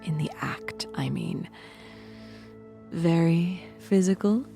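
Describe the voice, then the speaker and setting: A woman acts out a fanged fantasy courtesan for an audio drama, playful and seductive. Her voice is deep and mellow, with plenty of creak. She teases coyly, letting phrases fall away into breathy whispers. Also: soft background music. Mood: seductive, teasing. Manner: flirtatious, coy, teasing, unhurried. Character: sultry fanged fiend-blooded courtesan, playful and teasing. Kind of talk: audio drama character acting.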